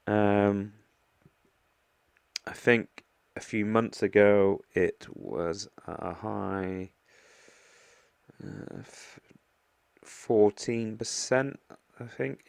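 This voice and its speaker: A man hosts a casual investing vlog, his deep voice thick and stuffy from a heavy cold. He pauses often, restarting words and filling gaps with ums. The sound is dry and close, with no room around it. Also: smooth, dry recording. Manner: hesitant, many ums and restarts. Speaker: investing vlogger. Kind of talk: casual portfolio walkthrough.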